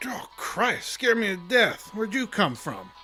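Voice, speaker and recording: Grumbling, drunken fishing guide. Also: male voice, sits high, very animated, unhurried, bright, forceful tone, soft background music, full character voice. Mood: startled. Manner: blunt, folksy, grumbling. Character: gruff, irritable, drunken male fishing guide, haunted barfly. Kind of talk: horror audio drama acting.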